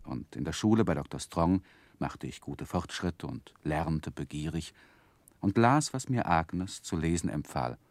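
Reflective storyteller in vintage radio drama; low-pitched, very melodic delivery, earnest and carefully phrased. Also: male voice, expressive, a little slow, a little mellow, dry recording, heavily acted. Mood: tender, earnest. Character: earnest gentleman recounting his boyhood, tender and reflective. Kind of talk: literary first-person narration in 1960s German radio drama.

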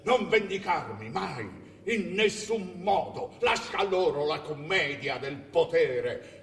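Slow, solemn ghostly king in echoing hall. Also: high-pitched, a bit bright. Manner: slow, emphatic, declamatory. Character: ghost of a dead king, solemn, imploring father. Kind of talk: theatrical stage acting. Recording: distant echoey hall, faint noise.

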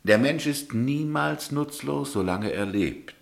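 An actor playing an old, dignified, melancholy governor, kindly yet authoritative, speaking in formal, deliberate radio drama style. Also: male voice, mid-range voice, lively intonation, creaky, echoey room. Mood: firm, kindly. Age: older. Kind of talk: German radio play acting.

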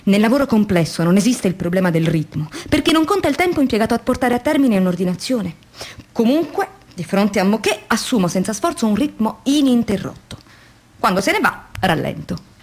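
A woman's voice: medium pitch, big pitch swings, brisk, darkish tone. An actress playing a weary, demoralized young woman factory worker in an introspective, theatrical radio drama monologue. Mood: reflective. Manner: introspective, theatrical, shifting between murmurs and emphatic phrases.

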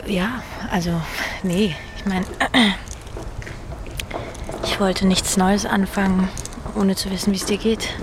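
Casual young woman, acted, clear noise behind. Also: mid-range voice, steady tone, slow pace, pauses often. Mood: hesitant. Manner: casual, hesitant, teasing. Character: young woman, teasing, self-doubting former girlfriend. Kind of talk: naturalistic audio drama acting.

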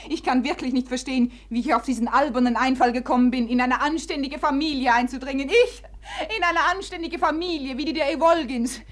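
An actress playing a proud, scornful, reckless society beauty in a theatrical, commanding manner in a radio play. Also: female voice, high-pitched, brisk, hardly any pauses, natural voice. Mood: bitter, contemptuous. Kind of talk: German radio play acting.

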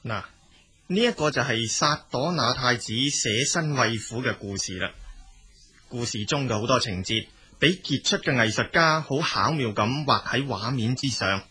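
A man's voice: medium pitch, animated, moderate pace, pushed and bright, breathy edge. A man with a Cantonese accent narrating a scripted story as a storyteller.

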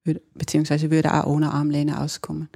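A middle-aged woman with a Dutch accent, an ergonomist explaining her work in a relaxed interview and laughing easily. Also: sits low, fairly quick, vocal fry, breathy edge. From Netherlands. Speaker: ergonomist, guest. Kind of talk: casual explanatory chat.

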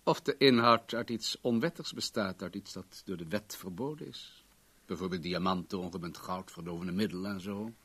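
An actor playing a wealthy, controlling businessman in a radio play, formal, guarded and self-assured in a measured way. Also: sits low, a bit bright, slightly rough, full character voice. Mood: guarded, firm.

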